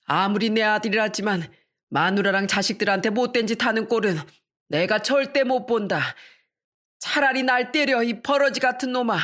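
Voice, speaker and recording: A fierce old grandmother, put on by a woman narrator, scolding in a shrill, strained voice pitched well above her speaking voice. She sounds protective and furious, with loud, sharp outbursts. This is the style of a storybook reading. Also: normal speed, lightly acted.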